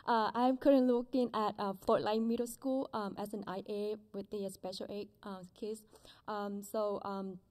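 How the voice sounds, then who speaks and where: Young adult woman, Vietnamese first language, mellow tone; halting, heartfelt public comment at a meeting mic. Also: mid-range voice, level tone. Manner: earnest, halting, many ums. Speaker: public commenter, school aide. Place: school board meeting room. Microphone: desk microphone.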